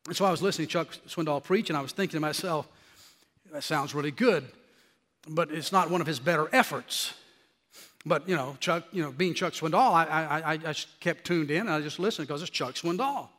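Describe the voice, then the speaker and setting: A middle-aged male preacher with a high, nasally and slightly scratchy voice. He talks in an animated way and climbs to emphatic peaks. His voice rings out with a long reverb in a church hall. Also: a little fast. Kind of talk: sermon.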